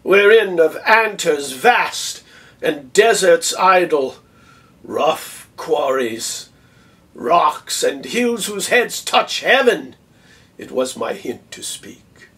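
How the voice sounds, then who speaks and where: A man playing a proud, dignified general in a theatrical Shakespeare monologue, speaking in a measured, stately way with long pauses and hushed phrase endings. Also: fairly high pitch, big pitch swings, far from the mic, heavily acted. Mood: proud, recounting.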